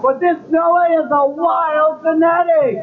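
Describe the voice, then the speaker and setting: A noisy, lo-fi vintage radio drama recording of a pompous leader of the people. His voice is very high for a man, and he declaims scornfully in slow, drawn-out oratory. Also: steady tone. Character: pompous, scornful male leader haranguing crowds against a prophet.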